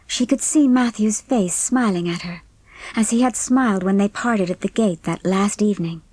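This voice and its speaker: A woman's voice: mid-range voice, normal speed, darkish tone, husky, dry recording. A woman reading scripted narration for a dramatised audiobook. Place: dry studio.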